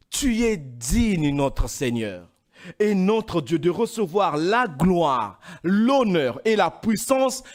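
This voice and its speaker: A male preacher from Congo delivering a sermon in a high voice that swings widely in pitch. He speaks slowly and deliberately, climbing into louder, emphatic runs. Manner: emphatic, rising into louder, higher passages.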